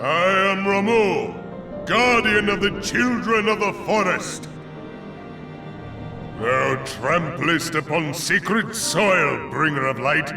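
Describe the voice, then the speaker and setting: An ancient storm god for a video game, stern and wise, proclaiming in solemn archaic phrases. Each word is very slow and weighty, ringing out from a distance in a vast echoing space, with loud music beneath. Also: male voice, high voice, animated, heavily acted. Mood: solemn, challenging. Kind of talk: scripted video game voice acting.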